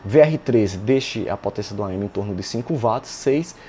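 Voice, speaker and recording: A Brazilian man walks through technical material like an informal instructor. His voice sits somewhat low and has a very mellow, dark tone. He talks conversationally, dropping in little check-in questions, with noticeable noise behind him. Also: expressive, normal speed. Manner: conversational, often adding check-in tag questions. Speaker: electronics instructor. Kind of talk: informal technical tutorial, explaining step by step. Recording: clear background noise.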